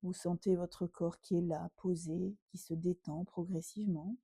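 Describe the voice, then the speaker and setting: A woman yoga teacher leading a guided relaxation meditation in a gentle, unhurried, instructing way with pauses. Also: on the low side, fairly even intonation, slightly rough, no echo.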